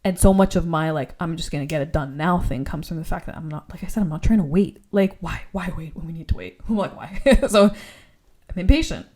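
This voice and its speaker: A woman's voice: sits low, a little fast, few pauses, a little mellow. A young woman co-hosting a faith-based podcast, talking earnestly and rambling, often laughing and saying "like". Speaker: podcast co-host.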